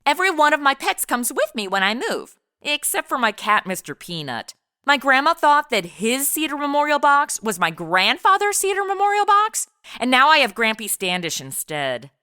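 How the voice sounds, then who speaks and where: A woman's voice: on the high side, sing-song, normal speed, pushed and bright, smooth, no room sound, studio quality. A woman playing a sarcastic modern woman out of place in the 1890s, quipping in slang in a comedy audio drama. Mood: deadpan quips.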